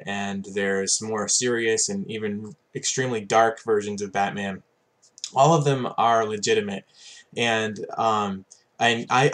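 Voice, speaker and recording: A young man hosts a casual review in a slightly low voice. He talks in a loose, rambling way, restarting sentences and filling gaps with ums. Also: a bit bright. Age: 20s. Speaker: channel host, reviewer.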